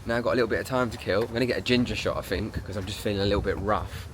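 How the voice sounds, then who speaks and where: A chatty man talks casually to his camera, his lowish voice a little stuffed up from a cold. He rambles in an upbeat, friendly way. Faint background noise sits under the handheld recording. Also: very fast. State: congested with a sore throat. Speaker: vlogger. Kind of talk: casual vlog chat.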